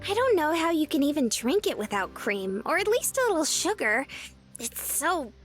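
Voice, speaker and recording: A woman's voice: sits high, big pitch swings, normal speed, faint music behind. A woman voicing a sweet, bubbly young woman in a visual novel, with a cutesy, chirpy, earnest manner. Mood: puzzled, earnest.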